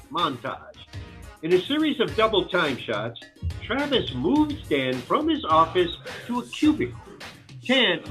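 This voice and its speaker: A man's voice: sits high, bright, forceful tone. A man narrating the stage directions of a screenplay at a table read.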